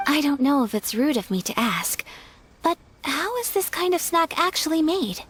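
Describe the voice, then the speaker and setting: A woman playing a polite, refined young noblewoman in an anime game, gentle, earnest and formally courteous. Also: high voice, big pitch swings, moderate pace, a little hiss or hum. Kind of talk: anime video game voice acting.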